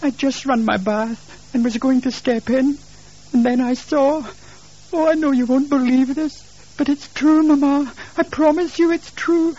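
A man voicing a terrified, pleading young boy in a narrated ghost story, breathless and drawn-out. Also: extremely high pitch, warm tone, highs cut off, pitched above the performer's own voice.

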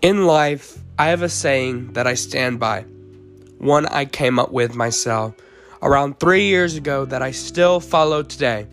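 A teenage boy, hoarse from the flu, hosting a faith podcast in an earnest tone with frequent ums and uhs. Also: medium pitch, measured pace, fairly bright tone, faint music behind. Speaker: podcast host.

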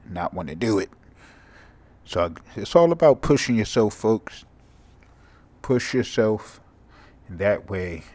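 A sleepy young man with a monotone, mumbly voice, hosting a rambling, profane solo podcast. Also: lowish voice. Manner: casual, profane, drifting from thought to thought. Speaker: podcast host.

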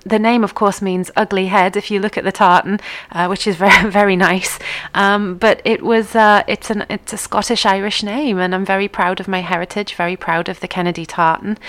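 A woman from England with a mixed northern English accent, hosting a casual livestream and chatting directly to her viewers in an upbeat way. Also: medium pitch. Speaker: online line dancing group host.

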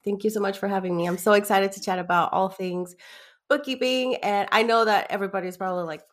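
A woman's voice: medium pitch, steady tone, fast talker. A woman from Ecuador, a bookkeeper guest on a podcast, explaining things eagerly, step by step, with everyday examples. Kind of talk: explanatory interview talk.

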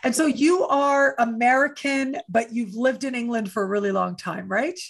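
A woman's voice: on the high side, moderate pace, vocal fry. A woman hosting an online interview show, welcoming her guest in an effusive, enthusiastic way. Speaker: host.

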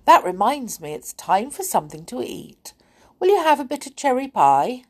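A woman's voice: on the high side, very animated, moderate pace, voice pushed up. Woman doing a fussy muddled goblin. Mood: flustered. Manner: flustered, dithering, fussy. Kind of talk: children's storybook reading.

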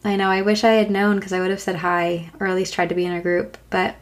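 A woman co-hosting a podcast in casual chat, hoarse from a long cough and talking with many fillers and restarts. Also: mid-range voice, little pitch movement, quick pace. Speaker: podcast co-host.

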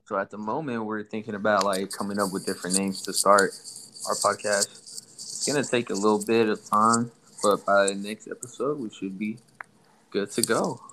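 A man's voice: fairly low pitch, steady tone, normal speed, pushed and bright, slight background noise, low-quality recording. A young man from Somalia hosting a casual podcast, loose and joking with his co-host.

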